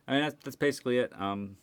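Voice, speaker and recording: A man's voice: mid-range voice, fairly quick, clear, resonant tone, no room sound. A man co-hosting a podcast in casual group chat. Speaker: podcast co-host.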